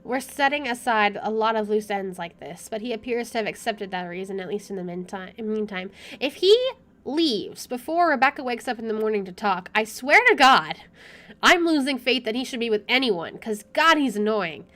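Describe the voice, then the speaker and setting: A woman with a slightly high voice plays a visual novel for viewers. She reads the story aloud expressively and has an opinionated, casual streak. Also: lively intonation, moderate pace, pushed and bright. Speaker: gamer playing and reacting to a visual novel. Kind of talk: reading game narration aloud with casual commentary.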